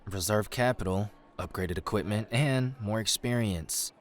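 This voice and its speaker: An actor playing a cheeky, ambitious young crusader in a fantasy audio drama, casual, flippant and cajoling. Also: male voice, lowish voice, measured pace, lightly acted. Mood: persuading. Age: young adult.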